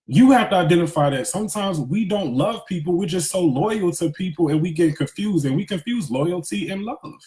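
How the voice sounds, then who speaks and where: Echoey, higher-pitched man giving a pep talk. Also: expressive, moderate pace, few pauses, dark tone. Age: 30s. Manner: emphatic, preacherly, repeats phrases for emphasis; conversational street-smart slang, candid. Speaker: motivational speaker. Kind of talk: casual spiritual pep talk, livestream. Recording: echoey, roomy sound.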